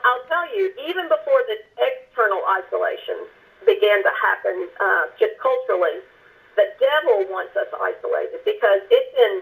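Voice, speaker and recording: A woman minister, a guest on the show, telling her personal testimony in an earnest, halting way, often restarting and repeating words. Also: a little high, vocal fry, rough recording. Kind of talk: personal testimony in a faith interview.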